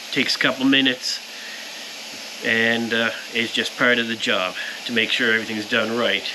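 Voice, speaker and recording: Man, mid-pitched voice, casual explanatory workshop vlog narration, plain-spoken, noticeable background noise. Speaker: powder coater presenting his shop's work. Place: powder coating workshop. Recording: clear background shop noise.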